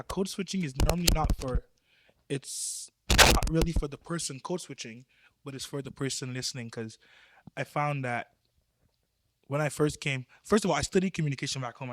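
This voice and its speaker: A man's voice: mid-range voice, slight background noise. A young man from Barbados with a Barbadian accent, explaining his views earnestly in a casual youth podcast discussion. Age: young adult. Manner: earnest, explanatory, trails off quietly.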